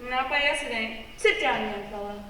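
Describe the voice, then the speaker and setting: A woman's voice: fairly high pitch, normal speed, pushed and bright. A woman playing chatty, folksy railway characters in a radio play. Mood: brisk, folksy. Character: chatty railway bit parts, ticket clerk and folksy passenger. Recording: echoey hall, distant, faint noise.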